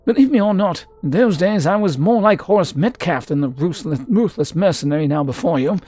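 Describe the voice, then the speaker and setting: A man's voice: sits high, lively intonation, fairly quick, warm tone, no room sound, pitched above the performer's own voice. A man voicing a proud, theatrical mercenary archaeologist from a fantasy game, telling his past in florid, formal speech. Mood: wistful, proud. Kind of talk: character voice in a fantasy game read-through.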